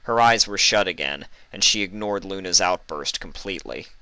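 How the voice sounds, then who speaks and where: A man narrates a written story in a very low voice. The tone is forceful and clear, with crisp articulation. He sounds close to the microphone, with hardly any room sound. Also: expressive, normal speed, no room sound, low-quality recording. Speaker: fan fiction narrator.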